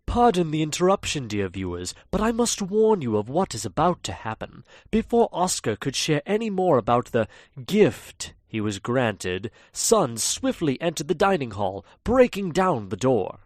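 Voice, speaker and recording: A man's voice: a little high, sing-song, normal speed, a little mellow, no room sound, clean studio sound. A man narrating a fan audio drama in a hammy, theatrical way, speaking straight to the audience. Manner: hammy, fourth-wall-breaking storyteller.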